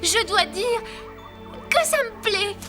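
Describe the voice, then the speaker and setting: A woman's voice: extremely high pitch, animated, slow, full character voice. A woman voicing a short-tempered, unsentimental young animal in a French children's cartoon. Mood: reluctantly impressed. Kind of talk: dubbed children's cartoon acting. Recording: loud music under the voice.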